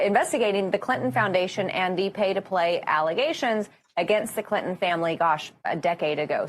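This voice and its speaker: A woman presenting a news report, reading briskly with small slips. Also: medium pitch, slight background noise.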